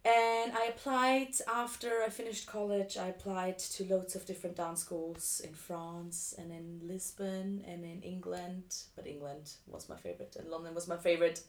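Woman, low voice, telling her story casually, roomy echo. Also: steady tone, moderate pace, hardly any pauses. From Vienna, Austria. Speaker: interview guest, dancer. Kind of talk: casual chat, telling personal experiences. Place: living room. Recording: echoey room.

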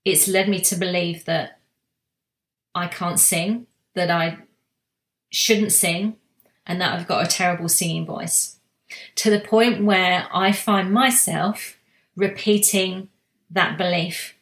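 A woman giving a slow, reflective spiritual talk, soft-spoken, with long pauses and restarts. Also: lowish voice, fairly even intonation, echoey room. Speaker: spiritual guide sharing monthly energy reading.

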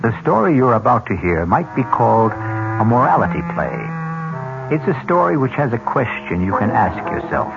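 A man introduces a vintage radio mystery with theatrical menace. His deliberate voice is gravelly and swoops expressively, over prominent music on a dull, humming old broadcast recording. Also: medium pitch, very animated. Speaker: host and narrator of a radio mystery series. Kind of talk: 1970s radio mystery introduction, scripted narration.

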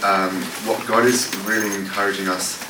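A man's voice: on the low side, little pitch movement, moderate pace. A man leading a session at a church conference, speaking unscripted with many fillers and restarts. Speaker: worship leader leading a panel session. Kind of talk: unscripted talk answering questions. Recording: echoey room, distant mic, noisy.

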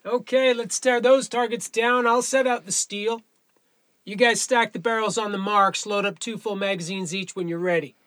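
An actor plays a male firearms range instructor with a bright, forceful voice in a very dry recording. He is brisk and commanding but encouraging, in an audio drama style. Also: sits high, steady tone, no room sound. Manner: commanding, clipped instruction with coaxing pep talk.

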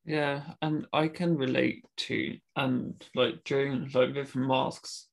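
A man's voice: medium pitch, steady tone, slow pace, vocal fry. A young British man with a mild stammer, talking casually and hesitantly about his experience. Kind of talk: casual conversation.

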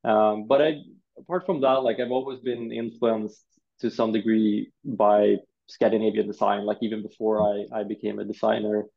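A Swedish man in his thirties, a designer answering interview questions in a thoughtful, halting way, often repeating words. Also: on the low side, little pitch movement, creaky, dull audio. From Sweden.

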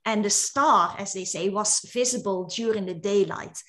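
A woman from the Netherlands, an astronomer explaining supernovas in a relaxed, enthusiastic way during an online call. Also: mid-range voice, moderate pace. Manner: enthusiastic, explanatory, some restarts. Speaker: astronomer guest.

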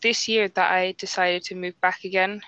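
A young woman who grew up in England, hosting a podcast in relaxed casual chat, with lots of filler words. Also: on the low side, normal speed, clear, resonant tone, dry recording, dull audio. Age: young adult. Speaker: podcast host.

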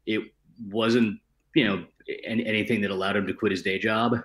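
A man with a fairly low voice talks in a casual panel discussion. His delivery is halting, with words repeated as he thinks his way through. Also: steady tone, a bit bright. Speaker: writer, panel guest.

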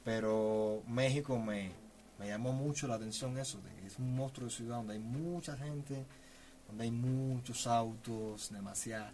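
A man's voice: medium pitch, a little slow, darkish tone, slight background noise. A Cuban man, a musician guest, chatting casually in an interview, rambling with restarts and tag questions. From Cuba.

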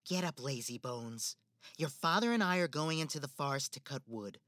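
A woman's voice: very deep voice, lively intonation, moderate pace, fairly bright tone, dry recording, clean studio sound. A woman playing a cold, manipulative stepmother in a spoken fairy-tale drama. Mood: bossy. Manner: manipulative, icy, theatrical.

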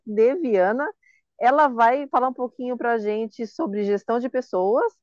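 A woman's voice: on the high side, no echo. A woman with a Brazilian accent hosting a conversational podcast interview. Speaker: podcast host. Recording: dull lo-fi audio.

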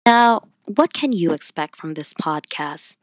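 A woman's voice: low-pitched, lively intonation, a little slow, no room sound. A young woman from the Philippines with a slight Filipino accent, hosting her own podcast in a chatty, upbeat way. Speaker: podcast host. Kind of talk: casual solo podcast chat.

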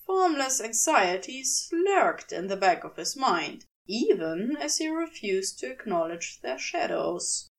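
A woman reading fiction aloud as an audiobook narrator, in an expressive scripted storytelling style. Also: on the high side, slow, vocal fry, hardly any pauses, far from the mic.

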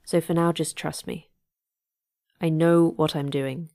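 A woman's voice: low-pitched, steady tone, a little slow, warm tone. A woman acting the terse, controlling manager of a secret facility in a sci-fi horror podcast, with a dry delivery. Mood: firm, evasive.

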